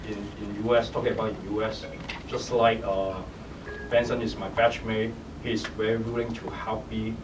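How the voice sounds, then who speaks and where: Startup founder, man with hong kong accent, lowish voice, far off in an echoey noisy hall. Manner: halting, many restarts and "you know". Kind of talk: panel Q&A answer. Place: event hall.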